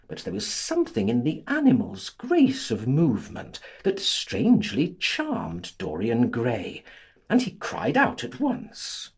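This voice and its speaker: A man narrating a literary audiobook in a theatrical, deliberate, scripted style, with a husky voice. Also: mid-range voice, huge pitch swings.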